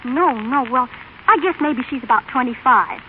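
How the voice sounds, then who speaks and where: A woman's voice: high voice, expressive, normal speed. A woman playing an eager, affectionate teenage daughter in an old American radio drama, with a gushing, girlish manner and an American accent. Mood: earnest, admiring. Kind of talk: 1930s-1950s American radio drama acting. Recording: tinny, band-limited old radio broadcast, faint noise.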